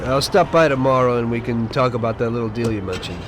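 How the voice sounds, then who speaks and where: A male actor plays a wary mob driver in a crime game cutscene. His voice is medium in pitch, and he talks in a cool, measured, economical manner. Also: background noise, natural voice. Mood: deferential. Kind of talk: video game cutscene acting.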